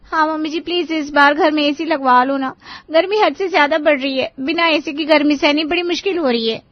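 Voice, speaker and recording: An exasperated young daughter-in-law in a family cartoon, played by a woman. She grumbles and pleads in a high, whiny voice. The sound is dry and a bit dull. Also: a little fast, no echo, natural voice. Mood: pleading. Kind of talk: Hindi cartoon story voice acting.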